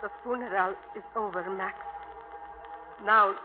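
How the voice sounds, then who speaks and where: Woman acting a slow, sorrowful old mother. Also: mid-range voice, steady tone, lightly acted. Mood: reproachful. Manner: slow, reproachful, broken English. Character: sorrowful, stern old immigrant widowed mother. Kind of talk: 1950s radio drama acting. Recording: narrow-band vintage radio recording with music.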